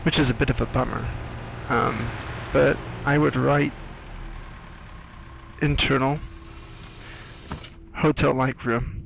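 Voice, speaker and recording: A man from Britain giving casual, musing screenwriter's commentary over a video game, hesitant and halting. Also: mid-range voice, steady tone, vocal fry, slight background noise, poor audio. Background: game audio with faint music.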